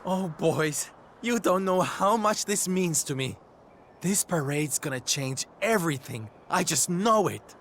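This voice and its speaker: A male actor playing a flamboyant, warm and peppy troupe leader in a video game, camp, theatrical and exclamatory. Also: high-pitched, animated, unhurried, a little hiss or hum, heavily acted. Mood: rousing, grand. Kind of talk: video game cutscene voice acting. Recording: background music under the voice. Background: orchestral game music.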